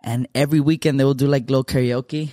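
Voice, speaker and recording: A man's voice: medium pitch, fairly even intonation, fast talker, warm tone, dry recording, clean studio sound. A young man from Southern California telling stories as a podcast guest, casual and slangy, dropping Spanish phrases into his talk. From Huntington Park, California. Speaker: guest singer. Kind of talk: casual storytelling chat.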